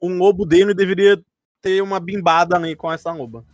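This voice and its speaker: A man's voice: high voice, steady tone, a little fast, no room sound, studio quality, faint music behind. A man with a Brazilian accent chatting casually and jokingly as a podcast co-host, often laughing. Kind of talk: casual chat looking back on a role-playing campaign.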